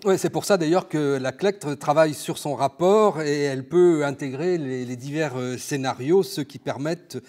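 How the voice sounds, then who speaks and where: A male interviewer with a somewhat high voice. He leads an informational exchange, building his questions haltingly and often doubling back on a word. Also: darkish tone. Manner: halting, repeats words while phrasing questions. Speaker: interviewer and host of an information segment. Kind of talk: interview on local government finance.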